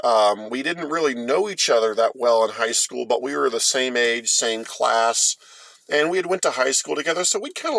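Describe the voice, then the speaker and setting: A middle-aged American man tells a rambling personal story in a casual, folksy way. His delivery is halting and conversational, at an ordinary male pitch. The sound is dry, with very little room in it. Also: gravelly, fairly bright tone, no room sound. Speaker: host of a storytime vlog.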